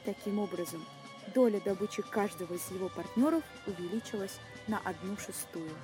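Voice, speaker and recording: A woman's voice: medium pitch, mellow. A young woman reading scripted narration for an audio play of a short story. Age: young adult.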